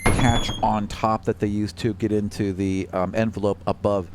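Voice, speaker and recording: A man narrating a tabletop role-playing game as game master, halting and descriptive with casual asides. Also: fairly low pitch, little pitch movement, background noise.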